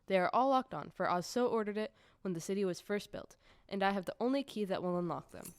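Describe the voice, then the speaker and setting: A woman's voice: medium pitch, expressive, fast, clear, resonant tone, no echo, lightly acted. A woman playing an officious little gatekeeper of a magical city in an audio play, formal, ceremonious and emphatic. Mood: proud, ceremonious. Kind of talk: stage-style audio drama acting.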